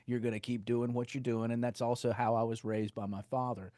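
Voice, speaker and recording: A middle-aged man from North Carolina with a Southern accent, a rock singer chatting loudly and laughing often as an interview guest on a video call. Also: medium pitch, brisk, dry recording, studio quality. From North Carolina, USA. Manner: loud, animated, laughs often. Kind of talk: casual interview chat.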